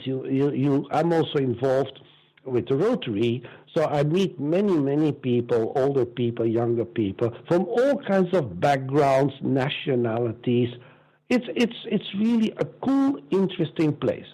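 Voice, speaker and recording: A man's voice: a little high, mellow, low-quality recording. A man in his sixties with a Belgian accent, telling stories emphatically and with strong opinions as an interview guest. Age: 60s. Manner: emphatic, opinionated, repeats words. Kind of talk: animated conversational storytelling.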